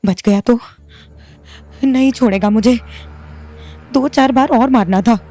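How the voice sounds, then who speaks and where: Actress as a cornered young wife, husky, mellow, tense, brooding. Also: female voice, fairly high pitch, unhurried, soft background music. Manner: tense, brooding inner monologue.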